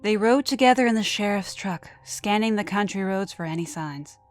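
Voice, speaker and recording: A woman narrating a scripted horror story dramatically, often dropping to a hush at the ends of phrases. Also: mid-range voice, moderate pace. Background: background music.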